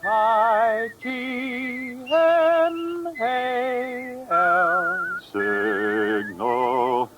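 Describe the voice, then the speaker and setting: A woman performing a drawn-out sponsor jingle on old radio. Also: on the high side, wildly swooping pitch, highs cut off. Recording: distant old radio recording, loud music.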